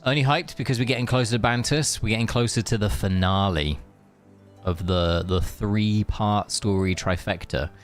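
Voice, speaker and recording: A man's voice: lowish voice, normal speed, soft background music. A man chatting casually on a game live stream, rambling and answering viewers as he plays. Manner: rambling, chatty, reads chat aloud.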